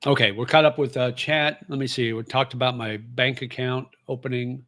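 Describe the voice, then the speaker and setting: A man's voice: medium pitch, fairly even intonation, normal speed, pushed and bright, no room sound. A middle-aged man and former radio host, chatting casually on his livestream and reading viewer comments in a rambling, easygoing way with plenty of fillers.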